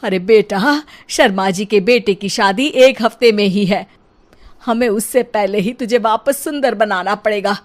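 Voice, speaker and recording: Woman acting a slow-talking, proud mother-in-law. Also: medium pitch, close to an everyday voice. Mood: urgent. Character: proud, doting middle-aged mother-in-law, indulgent yet exasperated.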